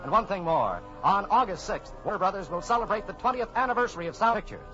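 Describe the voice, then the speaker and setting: A man's voice: high-pitched, animated, fast talker, pushed and bright. A man announcing on 1940s radio, delivering scripted copy with polished, emphatic salesmanship. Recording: muffled 1940s radio broadcast, faint music.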